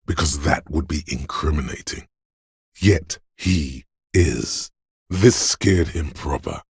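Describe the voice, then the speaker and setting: A man voicing a menacing, paranoid inner voice of fear and aggression in a video game, gravelly and husky, ominous and deliberate. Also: very deep voice, no room sound, studio quality, heavily acted. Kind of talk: scripted game voice acting, second-person narration.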